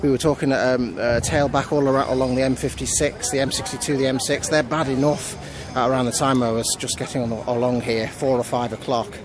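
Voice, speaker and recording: A man with a medium-pitched voice reports news on location, making it up as he goes. His delivery is halting, with repeated words. He is outdoors in a city square, with crowd and traffic noise clearly audible around him. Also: steady tone.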